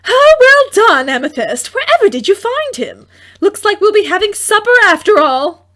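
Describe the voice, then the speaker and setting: A woman's voice: very high-pitched, big pitch swings, normal speed, a bit bright, pitched above the performer's own voice, exaggerated voice. A woman voicing a practical, exclamatory farm mother in a fairy tale audiobook. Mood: delighted surprise.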